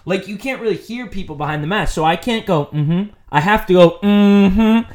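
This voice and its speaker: A man co-hosting a casual podcast, emphatic and playful, leaning on drawn-out "mm-hmm"s. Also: high-pitched, a little mellow. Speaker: podcast co-host. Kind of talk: casual chat.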